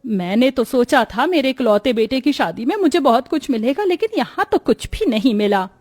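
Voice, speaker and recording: A woman voicing a greedy, bossy middle-aged mother-in-law in a melodramatic story, scolding and sharp-tongued. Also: high voice, expressive, normal speed, pitched above the performer's own voice. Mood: indignant.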